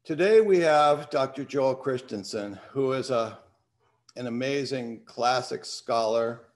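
An older man hosting from his home study over a video call, talking in a reflective way and reading a poem aloud. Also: mid-range voice. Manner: unhurried, reflective. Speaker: host. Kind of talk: casual talk and poetry reading. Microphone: video call webcam.

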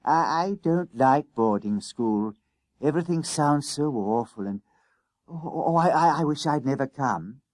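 A timid small schoolboy, played by a man from a storybook. He sounds anxious and homesick, stumbling over his words, with a raised, wavering pitch. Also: animated, a little slow, no echo. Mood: miserable.

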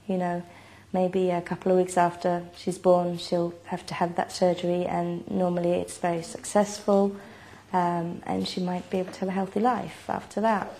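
A woman in her thirties from Iceland, with Icelandic as her first language, tells her story in an interview. Her voice is soft and mellow, and she keeps to a narrow, even range of pitch. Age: 30s. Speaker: mother telling her family's story. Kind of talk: personal interview account. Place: home kitchen, indoors.

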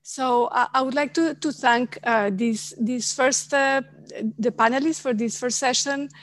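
A woman in her forties moderating an online panel from a home office, speaking in halting phrases with many fillers and repeated words. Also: sits high, fairly even intonation, fairly bright tone. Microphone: headset on a video call.

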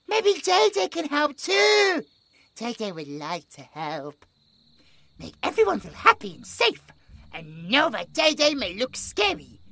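A British man voicing a gentle, childlike possessed male creature who talks about himself in the third person with broken grammar. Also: extremely high pitch, creaky, exaggerated voice. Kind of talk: horror audio roleplay.

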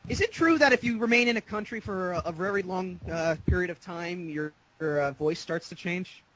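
A man's voice: high voice, brisk, fairly bright tone, rough recording. A man co-hosting a voice-call chat, hesitant and repeating his words. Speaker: co-host. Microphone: video call.

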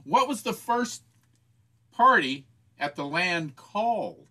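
A man with a bright voice that swings widely in pitch hosts a casual video call, joking with old friends. He talks in an easygoing, lively way. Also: slow pace. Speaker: host. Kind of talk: casual chat. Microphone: headphones on a video call.

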